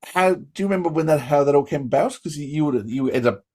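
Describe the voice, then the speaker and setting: A man's voice: a little high, fast talker, darkish tone, husky. A middle-aged man from Australia, hosting a casual interview and talking with eager, rambling restarts. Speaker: podcast host.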